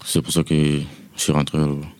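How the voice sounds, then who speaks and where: A man's voice: a bass voice, moderate pace, dry recording. A nineteen-year-old man talking casually and plainly about himself on a youth radio show. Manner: relaxed, plain-spoken.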